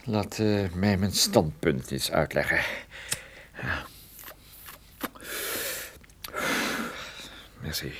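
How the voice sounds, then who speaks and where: Low-voiced, wry private detective. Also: male voice, expressive, takes it slowly, fairly bright tone, a little hiss or hum, heavily acted. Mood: restless, stubborn. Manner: conversational, hesitant interjections, explanatory. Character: wry, stubborn male private detective, injured but determined. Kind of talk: Dutch radio play acting.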